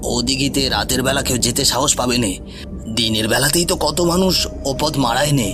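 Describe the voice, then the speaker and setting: A man's voice: mid-range voice, fairly quick, natural voice. A man playing a curious, wary village tea seller in a horror story, with a rural Bengali accent and folksy, coaxing manner. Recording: music bed behind voice.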